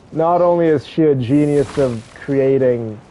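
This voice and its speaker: A man's voice: mid-range voice, warm tone, a little hiss or hum. A man livestreaming a game with casual, chatty and effusive commentary, talking directly to his viewers. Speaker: game live streamer. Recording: dull, band-limited stream audio. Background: music.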